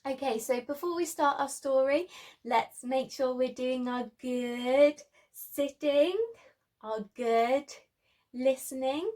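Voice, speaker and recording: A woman's voice: sits high, takes it slowly, a little mellow. A woman preschool teacher reading a story aloud, speaking expressively in simple phrases to small children.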